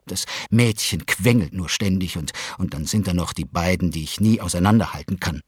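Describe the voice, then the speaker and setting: A man's voice: low-pitched, normal speed, a little mellow, slightly rough, no room sound, clean studio sound. A man voicing a cold, self-absorbed mother who talks in a fussy, disdainful way.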